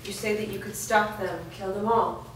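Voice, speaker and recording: A woman's voice: medium pitch, steady tone, moderate pace, lightly acted. A woman playing a determined, guarded grown daughter in a staged fantasy drama reading. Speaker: actor. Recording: echoey room, distant mic, background noise.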